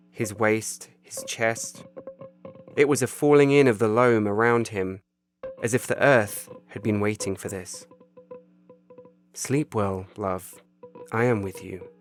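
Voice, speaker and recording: A man reading his own short story as a scripted literary narration, measured and solemn. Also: mid-range voice. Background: music bed.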